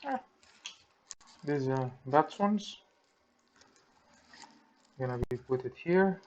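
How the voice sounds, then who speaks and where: A man with a Greek accent, speaking English as a second language, walks through a repair and thinks aloud in halting, simple sentences. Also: mid-range voice, lively intonation, a little mellow, lo-fi sound, slight background noise. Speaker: repair technician. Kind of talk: hands-on repair tutorial. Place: workbench.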